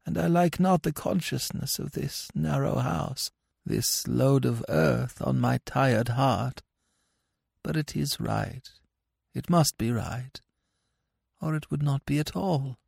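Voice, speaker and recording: A man voicing a pious, weary aged priest from the grave, with soft, gentle, resigned archaic speech for a storybook. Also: mid-range voice, slow pace, vocal fry, breathy edge, no echo, clean studio sound, pitched above the performer's own voice, exaggerated voice. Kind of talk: dramatic storybook reading.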